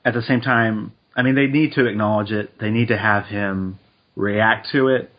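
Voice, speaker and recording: A man co-hosting a casual chat podcast, speaking in a measured, reflective way with frequent hedges. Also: fairly low pitch, fairly even intonation. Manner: measured, reflective, hedging with uh and I think. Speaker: podcast co-host. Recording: dull lo-fi podcast audio.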